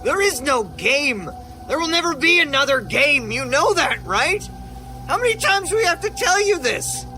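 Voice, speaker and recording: Man putting on a high, strained, angry brother. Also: very animated, normal speed, sharp tone, full character voice. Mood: angry, despairing. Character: distraught, angry, bitter older brother in a family. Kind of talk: dramatic horror audio story.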